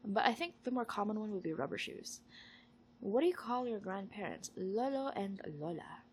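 A woman's voice: mid-range voice, expressive, normal speed, slight background noise. A woman from the Philippines with a Filipino accent, talking in a playful, informal, self-aware way as she chats and reads aloud. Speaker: amateur audio recorder doing an accent challenge. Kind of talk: casual chat, reading questions and word lists aloud.

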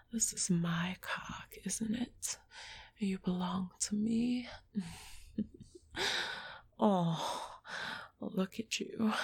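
A woman performing an intimate whispered audio roleplay in a soft, breathy, teasing and coaxing manner. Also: medium pitch, a little hiss or hum.

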